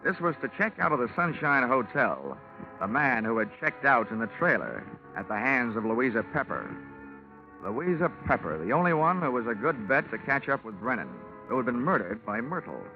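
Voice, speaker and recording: A man's voice: medium pitch, sing-song, moderate pace. A wry insurance investigator from an old radio mystery, narrating his case with a dry, sardonic edge and a voice that rises and falls freely. The sound is dull and old, like a vintage radio broadcast, with faint music underneath. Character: wisecracking male insurance investigator, dry and weary. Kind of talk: 1940s radio drama narration.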